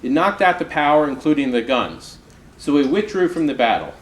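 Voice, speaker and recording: A man, an author, reads an oral history aloud in measured storytelling with emphatic phrasing. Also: a little high, big pitch swings. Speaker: author reading from their book. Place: live reading in a roomy indoor space. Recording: roomy, echoing live recording with noise.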